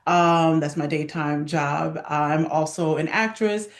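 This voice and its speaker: A woman's voice: deep voice, fairly even intonation, slow. An American woman speaking conversationally as an interview guest, introducing herself and her work. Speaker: guest, theater advancement director and actress. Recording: echoey room sound.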